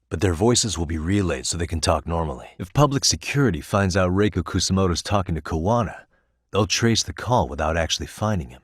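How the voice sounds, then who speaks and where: Video game private eye, thirties, deep register, level-headed, businesslike and determined, dry studio sound. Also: male voice, slightly rough, no echo. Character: shrewd, level-headed male private detective in his thirties. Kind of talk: English video game voice acting.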